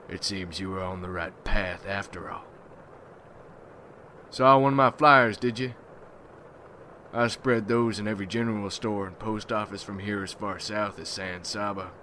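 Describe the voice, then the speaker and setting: A man playing a gruff, ruthless, wary vampire hunter in an ASMR roleplay, with a folksy, brooding, rough-spoken manner. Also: lowish voice. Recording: noisy ambience behind the voice.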